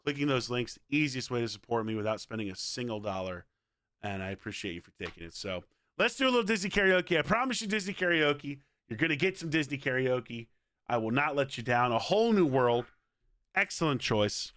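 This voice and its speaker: A man with a hoarse voice, chatting casually and energetically on a game livestream and pleading with viewers. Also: medium pitch, very animated, a little fast, a bit bright, dry recording. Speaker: game streamer.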